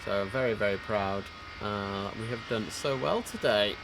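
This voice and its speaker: A man's voice: on the low side, normal speed, creaky, background noise. A man hosting a live game stream, chatting enthusiastically as he comments on play. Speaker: livestream host. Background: loud music.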